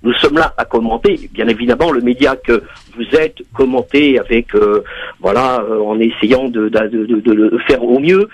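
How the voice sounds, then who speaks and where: Man, radio caller, husky, dull lo-fi phone sound, halting. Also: mid-range voice. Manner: hesitant, restarts often, then rants. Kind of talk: call-in radio talk. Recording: muffled phone line on radio.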